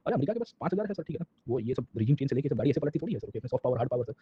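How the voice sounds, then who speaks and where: A man with an Indian accent and a husky, rough-edged voice gives an informal classroom lecture as a history teacher, mixing Hindi with English and often checking in with short tags. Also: mid-range voice, big pitch swings, rapid-fire, slight background noise. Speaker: history lecturer for exam students. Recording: dull, lo-fi lecture audio.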